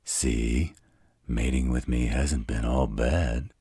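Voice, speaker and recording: A man acts out a gruff werewolf in an audio drama. His voice is extremely low and heavily husky, and he talks slowly and bluntly, with a protective, teasing streak toward his mate. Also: steady tone, mellow, no echo, clean studio sound, exaggerated voice. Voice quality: very deep, husky, gravelly. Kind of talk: roleplay audio drama acting.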